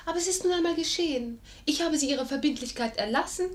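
A woman's voice: high-pitched, fast talker, echoey room, lightly acted. An actress playing a proud, spirited young noblewoman in a 1950s German radio play, formal and pointed in her stage diction. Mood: cool, brisk.

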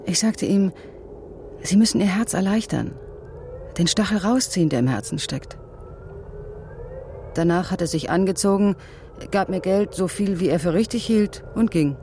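An actress playing a curious, watchful village storyteller in a radio drama, with a creaky, mellow voice. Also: female voice, mid-range voice, lively intonation, normal speed, pauses often, a little hiss or hum, natural voice. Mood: confiding. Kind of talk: German radio drama narration, voicing villagers.

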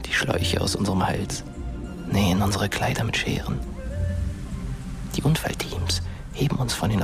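A low, hushed voice as the ghost of a teenage boy, telling his story with fatalistic calm. The delivery is deliberate and incantatory, literary radio drama with prominent music underneath. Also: gravelly. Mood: grim, detached. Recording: studio voice over loud music.

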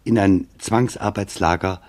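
Sober factual documentary narrator, scripted read, lowish voice. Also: male voice, steady tone, measured pace, a little mellow.

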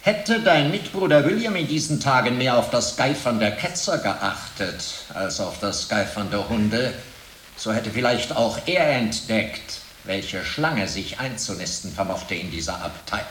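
A man's voice: on the low side, animated, unhurried, a bit bright. A coldly righteous inquisitor holds forth in an echoing room with faint noise behind. He is rhetorical and pompous, a suave prosecutor with menace in a medieval radio play. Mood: menacing accusation. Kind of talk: German radio drama acting.

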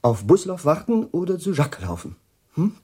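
An actor in a radio drama playing a lonely, absent-minded, overwrought middle-aged professor with a husky, mellow voice and a halting, musing manner. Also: male voice, a little high, very animated. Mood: agitated.

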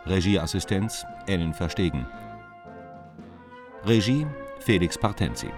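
A man reading closing broadcast credits in a formal, measured, list-like announcer style. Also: sits low, expressive. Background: brass music.

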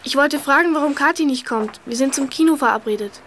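A polite young schoolgirl speaks in a high voice at a fast clip. She is eager and courteous, in radio drama style, with faint noise behind. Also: fairly bright tone. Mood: polite, concerned. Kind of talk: German audio drama acting.

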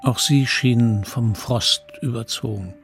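A man playing a middle-aged narrator who wistfully recalls his childhood, measured and sombre, in a radio drama. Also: mid-range voice, vocal fry, soft background music. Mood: reflective. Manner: measured, reflective, sombre storytelling.

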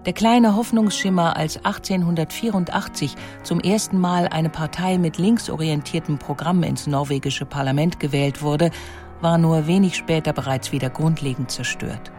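Documentary narrator, mid-pitched voice, scripted delivery, faint music underneath. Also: normal speed, a little mellow.

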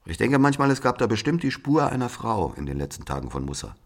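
A man's voice: mid-range voice, very animated, quick pace. A man playing a bitter brother of a murdered man, telling his story in an emphatic, conversational dramatic monologue. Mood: confiding. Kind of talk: confiding dramatic monologue to a listener.

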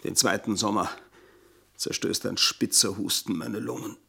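A man's voice: fairly low pitch, unhurried, vocal fry, pushed and bright, slightly rough, full character voice. A middle-aged man in a German radio play, playing a scheming manservant who speaks in stilted, formal, self-pitying phrases. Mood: stiff, self-pitying. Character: aggrieved, pompous, scheming ageing manservant.

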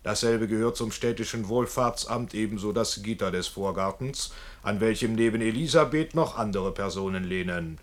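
A man's voice: fairly low pitch, moderate pace, close to an everyday voice. An actor in a radio play playing a sardonic street-ballad showman, narrating in a theatrical, declamatory manner. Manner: declamatory, fairground presenter.

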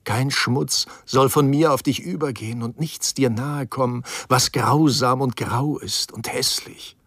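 An actor playing a tormented, self-mocking alcoholic writer in a radio drama, theatrical and halting. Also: male voice, medium pitch, a bit bright. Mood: bitter, drunken musing. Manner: theatrical, halting, swinging between murmur and outburst. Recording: music behind the voice.

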